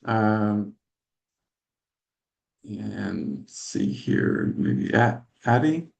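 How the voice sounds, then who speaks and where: Slow, gravelly man hosting a meeting. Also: fairly low pitch, sing-song, darkish tone, highs cut off. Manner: stammering, repeats words and restarts. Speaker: meeting host. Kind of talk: hosting round of introductions.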